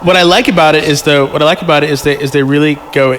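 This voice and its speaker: A man's voice: a little high, steady tone, rapid-fire, a bit bright, in a large hall, slight background noise. A man chatting and reading a story aloud casually as a podcast guest, joking and digressing into asides. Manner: joking, digressive, repeats himself.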